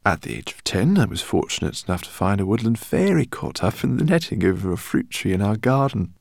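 A man voicing an excitable, eccentric older male professor who speaks in effusive, fussy exclamations. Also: fairly low pitch, brisk, creaky, no echo, studio quality, pitched above the performer's own voice, full character voice. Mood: eager. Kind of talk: audiobook character reading.

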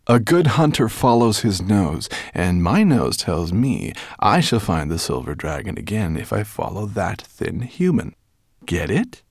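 A man's voice: lowish voice, very animated, measured pace, husky, dry recording, clean studio sound, heavily acted. A man voicing a huge, arrogant and cruel dragon in a storybook, bad-tempered and gleefully menacing, growling and snarling. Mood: smug, determined.

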